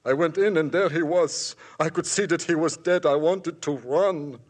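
An actor playing a frightened German immigrant caretaker with a German accent, in a 1950s radio drama. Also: male voice, on the high side, moderate pace, close to an everyday voice. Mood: fearful. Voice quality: husky, pleading and fearful. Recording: old 1950s radio broadcast.

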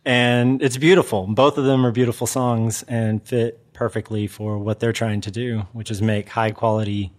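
A man with a mid-pitched voice, chatting casually as a returning podcast guest. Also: flat delivery, moderate pace, few pauses. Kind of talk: casual chat.

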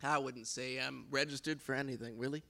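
Guy putting on a cajun drifter, folksy rambling drawl, bright edgy tone. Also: medium pitch, steady tone, a little fast, vocal fry. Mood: laid-back. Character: drifting Cajun man, keyboard player selling oranges, laid-back. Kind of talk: improvised comedy bit.

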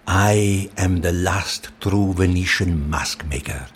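A man playing a courteous, proud and enigmatic Venetian mask maker in an audio drama, measured, with an Italian accent. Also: deep voice, slight background noise. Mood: proud, beguiling. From Venice, Italy.

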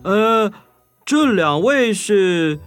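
一位男性在儿童故事里扮演一只开朗、爱唱歌的公海龟，说话响亮、拖长音、热情洋溢。. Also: male voice, high-pitched, expressive, heavily acted. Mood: friendly greeting. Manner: loud, drawn-out, exuberant delivery. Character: cheerful male sea turtle, proud off-key singer. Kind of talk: children's audio story acting. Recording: music behind the voice.

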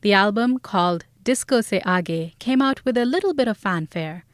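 A woman's voice: mid-range voice, lively intonation, moderate pace, no room sound, clean studio sound. A woman reading scripted narration for an audio documentary as its host.

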